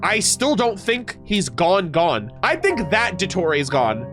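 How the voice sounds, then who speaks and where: A man doing casual, opinionated reaction commentary as a game streamer, slangy and expressive, with some swearing. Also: high-pitched. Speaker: gaming reaction content creator and streamer.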